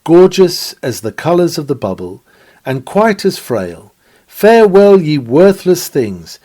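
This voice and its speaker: Man delivering an oratorical sermon, forceful bright edge absent. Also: on the high side, sing-song, unhurried. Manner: oratorical, earnest, formal archaic phrasing. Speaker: sermon reader, preacher. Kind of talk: dramatic read-aloud 19th-century sermon.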